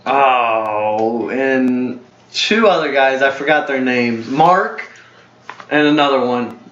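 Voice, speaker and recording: A man's voice: mid-range voice, slow, fairly bright tone. A young man in his twenties telling a casual story to a friend, rambling and full of fillers and self-corrections. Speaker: storyteller host. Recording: roomy, dull lo-fi home recording.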